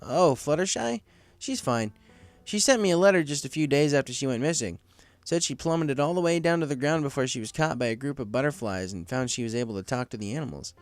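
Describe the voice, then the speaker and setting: A man's voice: mid-range voice, expressive, brisk, no room sound, voice pushed up, close to an everyday voice. A man voicing an adult pegasus pony, a reasonable and reassuring parent who gives friendly advice in a story reading. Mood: upbeat. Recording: faint music under the voice.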